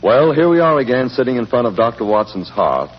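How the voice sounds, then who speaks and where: An American man announcing and hosting in polished 1940s radio style, with a husky voice. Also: mid-range voice, big pitch swings, brisk. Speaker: announcer, host and sponsor's spokesman. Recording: muffled old radio recording with music.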